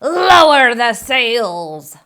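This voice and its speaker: A storybook pirate captain, acted out by a woman, gruff and bossy. He bellows his orders in drawn-out pirate slang, with a lively, swooping delivery. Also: on the high side, voice pushed up, full character voice. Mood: commanding, blustery. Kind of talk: storybook character voice.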